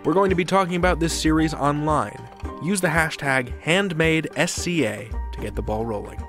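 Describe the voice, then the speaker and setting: A man hosting a podcast with measured, polished scripted narration. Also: a little high, fairly bright tone, soft background music. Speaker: podcast host.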